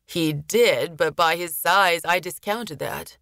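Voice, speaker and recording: A woman voicing a gruff male army sergeant in a storybook, clipped and grudging but deferential to his superior. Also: lowish voice, takes it slowly, fairly bright tone, no echo, clean studio sound, heavily acted.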